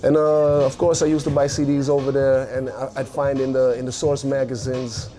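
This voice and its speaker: Dutch-accented man, relaxed, music behind. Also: medium pitch, normal speed. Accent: Dutch, Amsterdam. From Netherlands. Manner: relaxed storytelling with fillers. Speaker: guest rapper. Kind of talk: casual interview.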